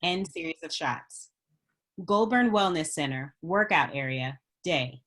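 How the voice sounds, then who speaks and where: A woman's voice: deep voice, lively intonation, a little slow, fairly bright tone. A woman reading screenplay stage directions as a narrator at a script table read.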